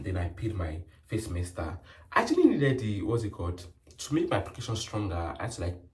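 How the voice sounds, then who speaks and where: A young man with a Nigerian accent, chatting casually in a rambling vlog story full of fillers. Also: lowish voice. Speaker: nurse vlogger. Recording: roomy sound from a distant mic.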